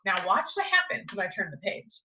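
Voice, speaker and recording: A woman reading a picture book aloud to young children like a teacher, with expressive, dramatic emphasis and coaxing questions. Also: mid-range voice, brisk, highs cut off, background noise. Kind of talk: animated children's storytime read-aloud with asides and questions.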